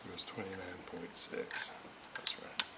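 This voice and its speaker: A man with a gravelly voice, muttering haltingly to himself as he thinks aloud through technical work and repeats readings. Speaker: radio restorer. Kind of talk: thinking aloud while working. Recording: clearly noisy workshop recording.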